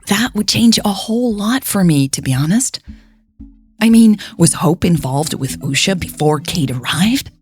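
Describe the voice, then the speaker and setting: A woman's voice: fairly low pitch, lively intonation, a little slow, darkish tone, soft background music. A woman voicing a puzzled, earnest fan posting on an online forum, in a chatty, questioning way.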